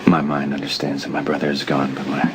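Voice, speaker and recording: A man's voice: a bass voice, monotone, fast talker. A man playing a somber, plain-spoken grown man in a screen drama. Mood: grieving, gentle. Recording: very noisy ambient soundtrack.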